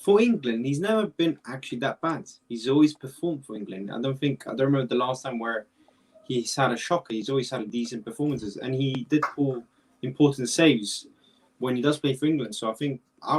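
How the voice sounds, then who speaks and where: A man's voice: medium pitch, brisk, breathy edge, recorded from a distance, rough recording. A young man around twenty, a guest in a casual football chat, rambling and repeating himself as he thinks. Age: young adult.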